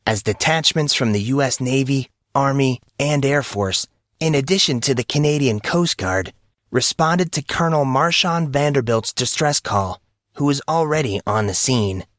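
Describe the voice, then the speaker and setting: Guy acting as a serious documentary voice-over man in an audio drama, formal, measured, mid pitch, dry sound. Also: lively intonation, fairly bright tone, no echo, close to an everyday voice. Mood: grave, formal. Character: documentary news narrator, formal and grave, male.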